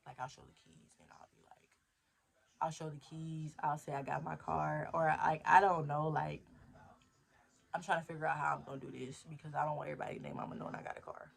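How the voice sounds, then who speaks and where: Gravelly-voiced young woman rambling in a vlog. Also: sits low, fairly even intonation, a little fast. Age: 20s. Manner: rambling, thinking aloud, self-motivating. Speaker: vlogger. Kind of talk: casual diary vlog monologue.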